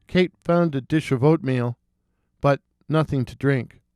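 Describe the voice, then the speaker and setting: A man narrating a scripted folktale in a deliberate, theatrical way, with weighted pauses. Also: mid-range voice, darkish tone, no room sound, studio quality, faint music behind. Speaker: storyteller.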